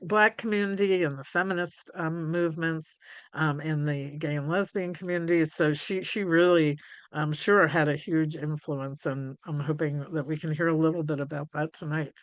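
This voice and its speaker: Woman host, video call, halting speech with ums, dry close sound. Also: deep voice, fairly even intonation, dry recording. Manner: halting, with many ums and restarts. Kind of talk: live video-call conversation.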